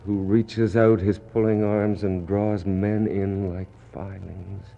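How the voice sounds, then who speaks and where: Doomed expedition officer: starving, resolute, very slow and creaky, halting poetic radio-drama monologue. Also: male voice, fairly low pitch, flat delivery. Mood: despairing. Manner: slow, incantatory, halting delivery. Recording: muffled, noisy 1960s radio recording.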